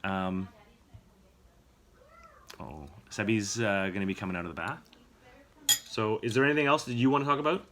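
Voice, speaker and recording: A man, a father, casually telling family stories to his young daughter in a gentle, rambling way. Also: fairly low pitch, normal speed, pauses often, a little hiss or hum. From Canada. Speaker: father and channel host.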